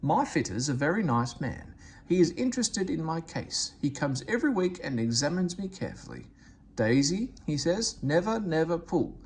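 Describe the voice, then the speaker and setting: A man's voice: on the high side, very animated, normal speed, a little mellow, voice pushed up. A man voicing a vain, fussy female diesel railcar from a storybook, with an indignant, self-important manner. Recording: faint background noise.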